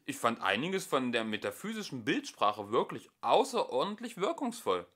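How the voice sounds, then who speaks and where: A nervous, eager young man who flatters and stumbles over his words, as a narrator puts him on. The voice is close to the reader's own, bright and lively. It suits a home-made audiobook. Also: medium pitch, normal speed. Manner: eager, hesitant, with fillers.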